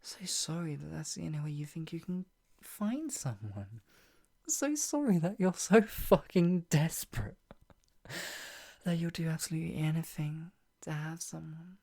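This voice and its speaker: Woman playing a very deep-voiced, sadistic yandere captor. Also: lively intonation, a little slow, dark tone, heavily acted. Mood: mock pity. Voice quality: husky, often dropping to a breathy whisper. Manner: taunting, swinging from mock pity to cold threat. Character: menacing, sadistic yandere captor, obsessive and mocking. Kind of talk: ASMR roleplay, improvised monologue.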